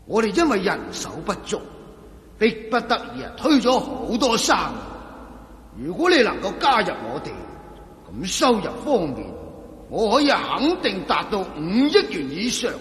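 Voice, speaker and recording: Radio drama villain: greedy, scheming crime boss, high-pitched and swooping, sneering Hong Kong Cantonese. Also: male voice, huge pitch swings, measured pace, fairly bright tone, exaggerated voice. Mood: persuasive, scheming. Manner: sneering, gloating, theatrical, with taunting interjections. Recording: echoey reverberant hall sound.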